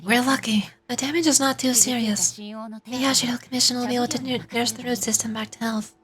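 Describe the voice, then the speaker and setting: Grave ruling deity for a game read by a woman: high female voice, measured formality, authoritative. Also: fairly even intonation, a crowd in the background, lightly acted. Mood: composed. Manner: solemn, formal. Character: grave, formal, commanding goddess ruler. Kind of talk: video game dialogue read aloud.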